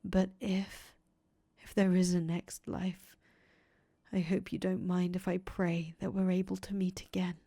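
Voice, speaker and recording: A woman voicing a soft-spoken young man condemned as a heretic, talking quietly in breathy whispers. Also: on the low side, measured pace, no room sound, studio quality. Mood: whispered farewell. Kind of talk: audio drama acting.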